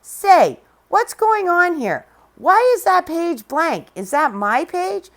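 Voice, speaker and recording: A woman's voice: very animated, slow pace, full character voice. A woman voicing a fussy, complaining little red hen in a storybook read-aloud. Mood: indignant. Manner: shrill, indignant, nagging.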